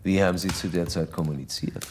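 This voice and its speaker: A man's voice: deep voice, steady tone, darkish tone, a little hiss or hum, lightly acted. A man playing a self-assured, pedantic psychoanalyst in a German radio drama, speaking formally and clinically. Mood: insistent questioning. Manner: formal, measured, interrogating.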